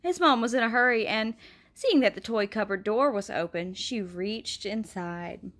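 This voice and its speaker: A woman reading a picture book aloud in a gentle, expressive way, with a soft laugh now and then. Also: mid-range voice, normal speed. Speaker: storybook reader.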